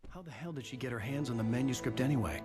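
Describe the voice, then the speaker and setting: A tense male thriller writer from a game played by an actor. He sounds brooding and terse at a middling pitch, with music playing clearly behind him. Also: quick pace, natural voice. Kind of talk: video game acting and scripted narration.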